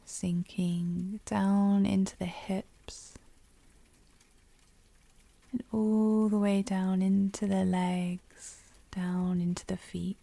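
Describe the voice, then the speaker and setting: A woman guides a meditation in a very dark, velvety voice, soft and breathy to the edge of a whisper. Her delivery is slow and soothing, with gentle pauses between phrases. The sound is close and dry. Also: mid-range voice, little pitch movement, dry recording. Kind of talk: guided yoga nidra meditation.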